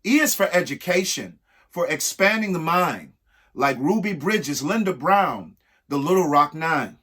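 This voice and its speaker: A husky-voiced man reading a rhyming picture book aloud expressively, emphatic, with pauses between short phrases. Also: on the high side, expressive, a bit bright. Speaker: reader of a children's picture book. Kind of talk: expressive read-aloud of a rhyming alphabet book with spoken asides.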